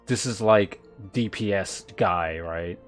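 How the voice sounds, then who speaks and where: A man's voice: deep voice, slow. A man livestreaming a game, chatting informally as he thinks aloud and reads game text. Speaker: game streamer. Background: game music.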